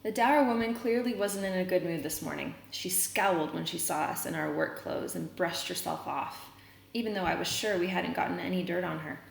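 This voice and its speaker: A woman reading a children's novel aloud, pausing to explain words as a teacher would. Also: fairly low pitch, vocal fry. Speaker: read-aloud reader and teacher. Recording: roomy echo, noticeable background noise.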